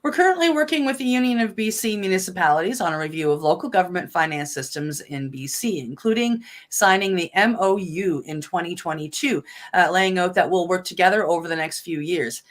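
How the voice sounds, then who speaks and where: A woman's voice: on the low side, fairly quick, hardly any pauses. A woman from Canada co-hosting a news show, reading stories and chatting with many fillers and restarts. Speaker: co-host reading cannabis news. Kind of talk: casual news reading and chat.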